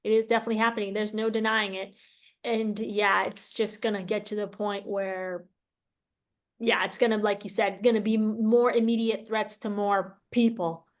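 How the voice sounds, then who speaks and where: A woman's voice: medium pitch, little pitch movement, moderate pace. A woman hosting an interview as a casual chat, informal and emphatic, repeating phrases for emphasis. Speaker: interview host.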